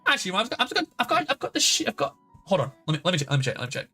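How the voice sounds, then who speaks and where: A man's voice: high voice, huge pitch swings, very fast, bright, forceful tone, husky. A man chatting casually as a game streamer, rambling and swearing freely as he goes. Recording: music playing behind the voice. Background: background game music.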